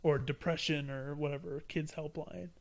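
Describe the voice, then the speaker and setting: A man's voice: fairly high pitch, steady tone, normal speed, gravelly. A man guest on a podcast, rambling casually and stumbling over his words. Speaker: guest, streamer.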